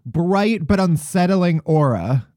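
A man's voice: a little high, lively intonation, slow pace, mellow, no room sound, clean studio sound. A man from Chicago hosting a podcast, joking in a playful, sarcastic way and swearing casually. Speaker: podcast host. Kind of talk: casual comedic chat.

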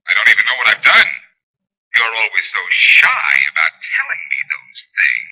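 An actor playing a cocky, wisecracking detective, breezy and sarcastic, in 1940s radio style. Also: male voice, on the low side, huge pitch swings, normal speed, bright, forceful tone, breathy edge, full character voice, poor audio. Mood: teasing, cocky.

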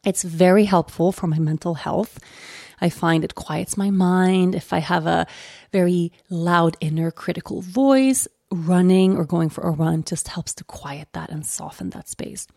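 A young adult woman hosting a podcast, gently guiding listeners through a self-care reflection with a slow, soft manner that drops into whispers. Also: lowish voice, creaky, no room sound, studio quality. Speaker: podcast host.

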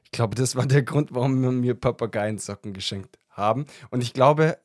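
A man's voice: mid-range voice, moderate pace, a little mellow, no room sound, clean studio sound. A talkative man hosting a casual podcast chat, often breaking into laughter while answering questions. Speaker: podcast host.

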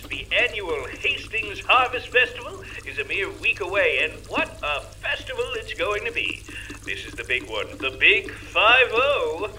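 Bright, high-voiced folksy mayor. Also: male voice, recorded from a distance, a little hiss or hum, exaggerated voice, low-quality recording. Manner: folksy, theatrical public address. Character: jovial folksy small-town mayor, around fifty. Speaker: small-town mayor. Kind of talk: audio drama announcement.

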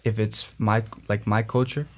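Young man, Spanish first language, low voice, flat delivery, earnest. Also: normal speed. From Colombia. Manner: earnest, many restarts and fillers. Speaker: guest, former student drummer. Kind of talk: interview answers.

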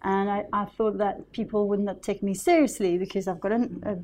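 A woman's voice: mid-range voice, fairly even intonation, fairly quick, a little mellow, slight background noise. A woman with a French accent, a guest on an interview, speaking hesitantly with many restarts. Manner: hesitant, many restarts and fillers, laughs easily. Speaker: guest, property investor. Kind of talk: casual interview chat.

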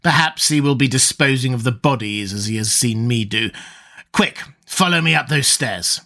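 A man's voice: mid-range voice, normal speed, creaky, sharp tone. A man voicing a scheming middle-aged priest who is gripped by fear, with a raised, theatrical, urgent delivery. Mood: frightened.

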